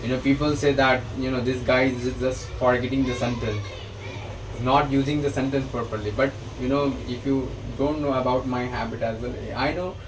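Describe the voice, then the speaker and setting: A man's voice: medium pitch, fairly even intonation, normal speed. A man with a Hindi mother tongue and an Indian English accent, teaching English grammar in a mix of Hindi and English, often stammering and restarting his sentences. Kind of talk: bilingual grammar lesson. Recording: distant mic with noticeable background noise.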